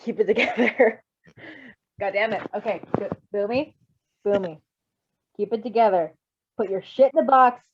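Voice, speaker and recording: A woman reading fan fiction aloud in a casual, giggly, expressive way, breaking into chatty asides. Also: mid-range voice, normal speed, long pauses, lo-fi sound, noisy background. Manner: giggly, expressive, exclaiming and swearing. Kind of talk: giggly live read-aloud with casual chat.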